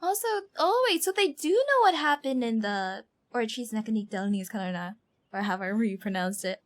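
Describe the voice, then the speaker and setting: High-voiced woman streaming games casually. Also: animated, fairly quick. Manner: rambling, slangy, informal. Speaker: game streamer. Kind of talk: casual livestream chat and game commentary. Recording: faint background noise and low rumble.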